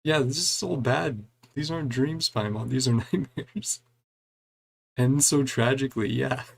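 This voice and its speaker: A man's voice: mid-range voice, a little slow, vocal fry. A man giving casual gameplay commentary, reading game dialogue aloud and adding wry, chuckling reactions in a laid-back way. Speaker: gaming streamer doing a playthrough.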